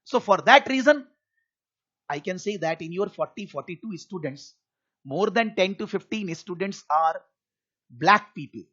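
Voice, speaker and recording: A man's voice: high-pitched, lively intonation, unhurried, bright, forceful tone. A middle-aged man with an Indian English accent and Hindi as his first language, giving an online accounting tax class in an earnest, repetitive, reassuring way. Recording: muffled lo-fi recording.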